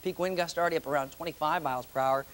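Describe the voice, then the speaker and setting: A man's voice: a little high, rapid-fire, fairly bright tone. A man presenting a live TV weather forecast as a meteorologist, in a conversational, ad-libbed way.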